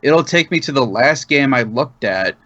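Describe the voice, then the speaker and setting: Bright-voiced man in casual podcast chat. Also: medium pitch, expressive, normal speed, no room sound, dull audio. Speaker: podcast co-host.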